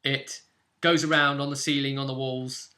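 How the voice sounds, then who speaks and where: A man's voice: mid-range voice, level tone, normal speed, sharp tone. A British man casually recapping a tabletop role-play session as game master, telling it like a story in loose, broken pieces.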